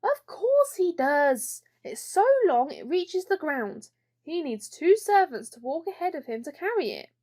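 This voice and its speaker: A woman's voice: high voice, very animated, unhurried, warm tone, voice pushed up, heavily acted. A woman voicing a bossy, boastful young princess with a haughty, grandly matter-of-fact manner in a children's storybook.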